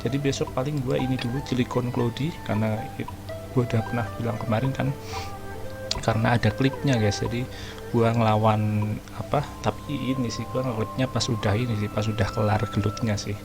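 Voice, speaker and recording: A man's voice: mid-range voice, fairly even intonation, fast talker. A man with an Indonesian accent giving casual, informal gameplay commentary as a video host, mixing in English phrases. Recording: loud game music behind. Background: video game music.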